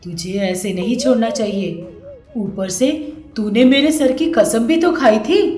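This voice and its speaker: A woman's voice: on the high side, measured pace, dark tone, reverberant, soft background music, natural voice. Stern, scolding village mother-in-law played by a woman. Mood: suspicious, scolding. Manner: scolding, emphatic, lecturing.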